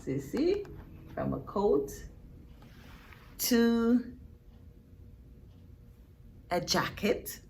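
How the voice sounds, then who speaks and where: A woman reading a picture book aloud to young children, expressive and teacherly, pausing for emphasis and asking her listeners questions. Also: fairly high pitch, creaky, a little hiss or hum, poor audio.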